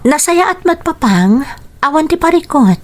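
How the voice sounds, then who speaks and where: A woman's voice: high voice, big pitch swings, moderate pace. A woman with a Filipino accent acting a patient, dutiful young wife and daughter in a radio drama, earnest and soothing. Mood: reassuring.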